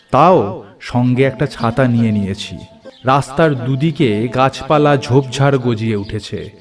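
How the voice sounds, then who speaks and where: A man's voice: medium pitch, darkish tone. Man narrating a ghost story over music. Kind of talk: scripted first-person narration of a ghost story. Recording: music under the voice.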